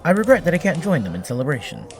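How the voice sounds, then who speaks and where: A man's voice: fairly high pitch, sing-song, racing, soft background music. A man playing an earnest, very literal newborn android in an audio drama, speaking formally and naively. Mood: wistful. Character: earnest, literal-minded newborn male android made of fire.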